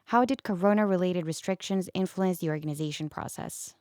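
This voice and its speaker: A woman's voice: fairly low pitch, a little fast, dark tone, dry recording, studio quality. A woman, presenting a podcast in a scripted way as its host. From Serbia. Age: 20s.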